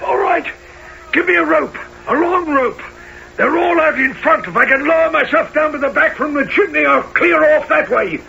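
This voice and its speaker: An actor in a radio play playing a brutal, guilt-haunted criminal on the run, rough and menacing. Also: male voice, extremely high pitch, moderate pace, bright, forceful tone, heavily acted. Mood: desperate. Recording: muffled, very noisy radio-play recording.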